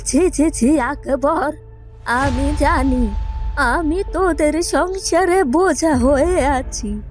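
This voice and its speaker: A woman playing an easily hurt, querulous elderly village mother in a cartoon fairy tale, scolding in drawn-out complaints. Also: sits high, a little mellow. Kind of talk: animated fairy tale acting.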